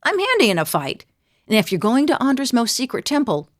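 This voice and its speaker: A woman's voice: a little high, wildly swooping pitch, brisk, dry recording, studio quality. A woman voicing a bold, teasing female fighter and wanderer in a fantasy video game, with a wry, conversational delivery. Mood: wry, friendly.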